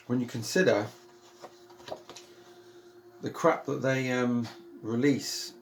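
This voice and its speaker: Male, British accent, relaxed unboxing host. Calm, soothing delivery with a gravelly texture, chatty and rambling, with plenty of ums and restarts. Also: mid-range voice, a little hiss or hum. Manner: rambling and chatty, with many ums and self-corrections. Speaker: vinyl collector and channel host. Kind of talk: casual unboxing chat.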